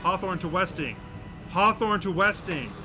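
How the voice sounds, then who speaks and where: A man's voice: high voice, expressive, moderate pace. A man playing an earnest, decisive field agent with a terse, urgent, procedural manner. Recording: loud music and vehicle noise.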